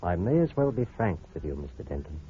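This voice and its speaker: An actor playing a smooth, manipulative doctor in a 1940s radio drama, with a theatrical, coaxing delivery. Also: male voice, sits low, very animated, fast talker, heavily acted. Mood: falsely soothing. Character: smooth, scheming, manipulative male doctor, secretly menacing. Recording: muffled, noisy vintage radio recording.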